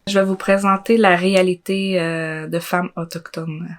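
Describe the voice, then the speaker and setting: Young woman, Quebec French accent, fairly low pitch, very flat intonation, informal hesitant interview answers. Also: normal speed, over loud music. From Côte-Nord, Quebec, Canada. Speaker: interviewee, social work student. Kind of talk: personal testimony in an interview.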